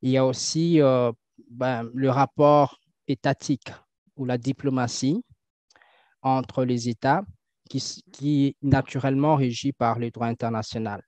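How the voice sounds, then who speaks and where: A man answering interview questions and explaining things, often pausing with fillers and restarting his sentences. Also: medium pitch, steady tone, darkish tone, dry recording. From French-speaking country. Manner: hesitant, many fillers and restarts. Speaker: guest. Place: Toronto. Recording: dull lo-fi dry sound.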